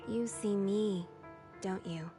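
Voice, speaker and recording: Slow, scornful game villainess. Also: female voice, mid-range voice, music in the background. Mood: cold, taunting. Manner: slow, mocking, menacing. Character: cold, scornful villainess, bitter and resigned. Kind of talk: English video game voice acting.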